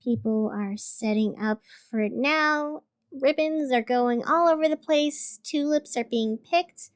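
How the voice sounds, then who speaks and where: A woman's voice: high voice, unhurried, clean tone, no echo, studio quality. A woman playing a wry, chatty small-town radio host, narrating casually in a scripted audio drama over music. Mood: brightly upbeat.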